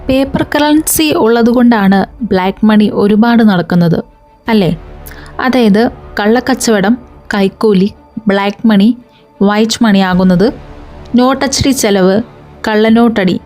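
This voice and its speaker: A woman's voice: medium pitch, measured pace, long pauses, darkish tone, soft background music. A woman hosting an explainer video, talking viewers through it step by step with rhetorical questions. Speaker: video channel host.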